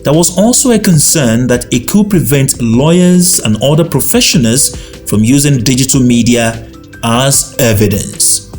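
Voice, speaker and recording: A man reading scripted news narration in an even, informative manner. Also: medium pitch, unhurried, faint music behind.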